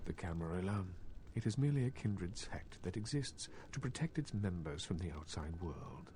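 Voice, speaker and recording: An actor playing a scheming, cultured elder male vampire in a video game, speaking in formal, measured, condescending phrases. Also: low voice, lively intonation, slight background noise. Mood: measured, dismissive. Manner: formal, measured, courtly speech. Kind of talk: video game character dialogue. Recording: loud game music behind. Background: music.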